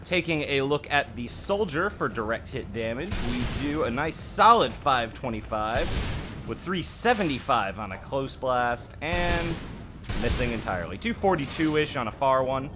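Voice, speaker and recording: A man's voice: mid-range voice, very animated, normal speed, over music. A man giving casual, conversational commentary on a game update as a host, offering his own opinions with some self-deprecation. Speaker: gaming channel host.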